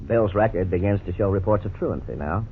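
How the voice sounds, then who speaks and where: Low-voiced man narrating an old radio drama, serious and measured, tinny with a bit of hiss. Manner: measured, grave.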